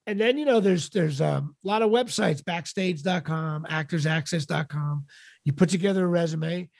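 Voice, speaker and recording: A middle-aged man with a raspy voice, chatting casually as an interview guest in a wry, self-deprecating, halting way. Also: a little high, a little mellow, no echo, studio quality. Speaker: podcast guest, actor and comedian.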